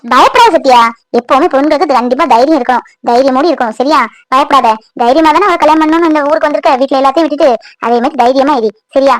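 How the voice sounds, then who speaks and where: A woman's voice: high-pitched, rapid-fire, no echo, close to an everyday voice, rough recording. A woman voicing a firm, motherly older-sister figure who lectures and reassures a younger woman. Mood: reassuring. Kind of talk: Tamil domestic audio drama.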